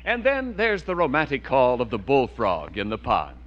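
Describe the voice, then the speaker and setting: A man's voice: medium pitch, huge pitch swings, normal speed, lo-fi sound, heavy background noise. A man working as a radio announcer, reading scripted narration in 1940s broadcast style.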